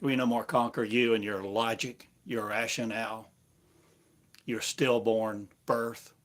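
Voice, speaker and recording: Slow, solemn male preacher. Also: lowish voice, level tone. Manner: solemn, measured, long pauses between phrases. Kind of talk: slow prophetic sermon speaking as God.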